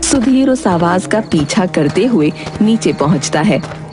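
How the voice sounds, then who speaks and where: A woman's voice: fairly low pitch, expressive, measured pace. A woman reading scripted narration for a children's story. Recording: prominent music behind the voice.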